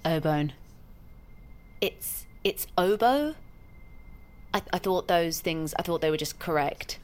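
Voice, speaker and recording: A woman's voice: fairly low pitch, expressive, takes it slowly. A woman acting a needy, unstable former estate agent in an audio drama, hesitant and anxiously repeating herself. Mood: probing, unsure. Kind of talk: naturalistic audio fiction acting.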